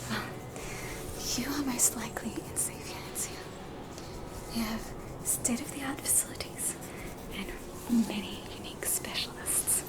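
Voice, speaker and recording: An Australian woman whispering softly in a scripted ASMR roleplay, playing a polite, reassuring receptionist nurse at a horror hospital. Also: fairly high pitch, a little slow, long pauses, low-quality recording.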